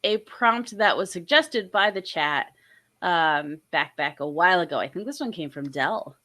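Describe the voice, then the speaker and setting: A woman's voice: fairly low pitch, expressive, measured pace, bright, forceful tone. A young adult woman hosting a casual drawing stream, chatty and bubbly, sounding a little nasal from a cold. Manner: bubbly, chatty, lots of laughter. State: congested with a cold, a bit nasal. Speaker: host and artist.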